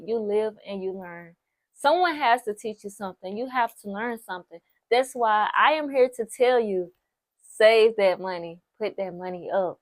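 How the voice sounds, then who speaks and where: A woman's voice: mid-range voice, no echo. Young woman vlogger, nineteen, chatty and encouraging, dry close recording. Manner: chatty, repeats herself for emphasis, lots of "like" and "so, yes"; encouraging, speaks straight to the viewer. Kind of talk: casual advice talk to camera.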